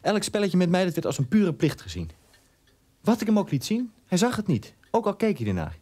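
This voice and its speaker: An actor playing a bitter, neglected German son in a radio drama, in a halting, conversational monologue. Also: male voice, sits high, wildly swooping pitch, mellow, exaggerated voice. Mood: bitter, hurt. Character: bitter German son born 1960, neglected by his father.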